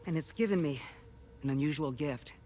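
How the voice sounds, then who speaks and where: A man playing a composed, kindly doctor in a video game drama, speaking in a measured, formal, reassuring way. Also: fairly high pitch, warm tone, slight background noise, close to an everyday voice. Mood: explanatory. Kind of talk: dramatic game cutscene acting.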